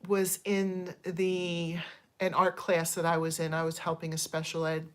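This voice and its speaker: A woman's voice: on the low side, monotone, a little slow. A woman in her fifties chatting casually on a livestream, digressing often and thanking viewers as she airs candid, frustrated complaints with plenty of ums. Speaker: channel host.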